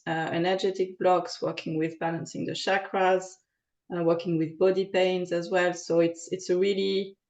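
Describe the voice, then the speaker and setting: A woman's voice: fairly low pitch, darkish tone, highs cut off. A French woman with a French accent, answering interview questions in a hesitant way, with many fillers. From France. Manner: hesitant, many ums and uhs. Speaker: podcast guest, aromatherapist.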